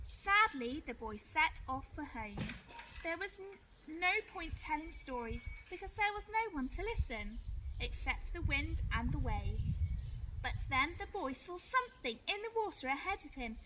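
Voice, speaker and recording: A woman teacher reading a picture book aloud to young children in an expressive, clearly phrased way. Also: high voice, unhurried, noisy background. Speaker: primary school teacher.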